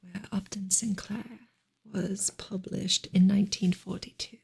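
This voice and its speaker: Breathy whispering woman, soft ASMR reader. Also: mid-range voice, fairly even intonation. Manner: soft, unhurried, hushed. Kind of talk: whispered ASMR book reading.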